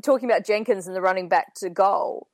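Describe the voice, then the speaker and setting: An Australian woman co-hosting a casual football chat, her voice slightly croaky, talking in a joking, chatty way. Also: medium pitch, fairly quick, no room sound. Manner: chatty, joking, laughs often. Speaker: podcast co-host.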